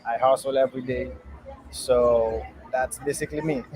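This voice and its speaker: A young man from Haiti, a guest entrepreneur, answering interview questions in a halting, earnest way with many pauses and fillers, speaking from a restaurant. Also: mid-range voice, fairly even intonation, crowd noise. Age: young adult.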